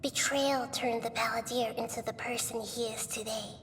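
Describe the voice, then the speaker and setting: A woman plays a small young goddess in a video game, with a high, girlish voice. She sounds wise and gentle, explaining things in a composed, measured way. Soft music sits faintly behind her. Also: steady tone, natural voice. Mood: calm, knowing.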